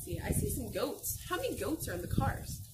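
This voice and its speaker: A woman's voice: high voice, fairly quick. Young lady teacher reading a storybook to kids, super swoopy voice, encouraging, noisy outdoor recording w birdsong. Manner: expressive, encouraging, sing-song enunciation for young listeners. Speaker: teacher reading aloud to children. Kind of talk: children's picture book read-aloud with questions. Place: outdoors on a garden patio.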